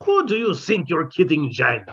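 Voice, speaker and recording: A woman's voice: low voice, wildly swooping pitch, normal speed, fairly bright tone, slightly rough, dull audio, exaggerated voice. A woman playing a pompous old professor. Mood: grand, fussy. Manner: florid, pompous, theatrical delivery. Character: eccentric old male professor neighbor. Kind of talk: 1950s radio comedy acting.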